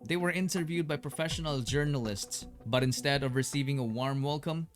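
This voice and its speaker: A man narrating a scripted documentary story in a measured, storytelling way. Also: medium pitch. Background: music.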